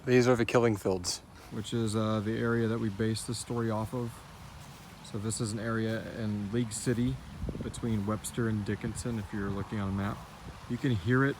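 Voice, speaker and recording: American man, low voice, informal rambling co-host answering questions casually, some ambient noise. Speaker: co-host and writer of a horror fiction series. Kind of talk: casual Q&A chat. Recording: clear background noise and ambience.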